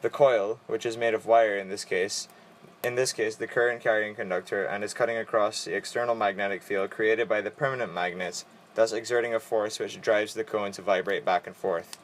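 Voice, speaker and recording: A teenage boy reading vocabulary and equations aloud for a school presentation, in a steady, orderly way. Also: lowish voice, a little fast, slight background noise. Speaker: student presenter.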